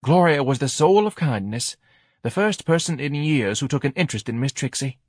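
A man's voice: mid-range voice, lively intonation, moderate pace, husky, no echo, studio quality, pitched above the performer's own voice. A man voicing a self-righteous, melodramatic middle-aged wife in an audiobook, nagging and reproachful. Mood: accusing. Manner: indignant, reproachful, dramatic.

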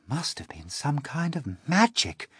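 A man voicing a gentle female ladybird with a husky voice. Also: on the high side, very animated, measured pace, dry recording, studio quality, pitched above the performer's own voice, heavily acted. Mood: hopeful. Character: hopeful female ladybird creature.

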